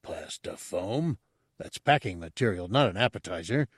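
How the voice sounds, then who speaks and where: A man's voice: low-pitched, animated, normal speed, husky, no room sound, clean studio sound, exaggerated voice. A man voicing an exasperated, menacing boss of guards in a storybook, curt, impatient and demanding. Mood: baffled, scornful.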